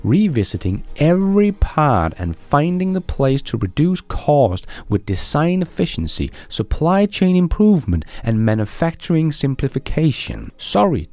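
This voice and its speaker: A man's voice: mid-range voice, mellow, no echo. A man hosting a news commentary show for viewers, enthusiastic and emphatic in his delivery. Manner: enthusiastic, emphatic, chuckling between points. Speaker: host of a Tesla news channel.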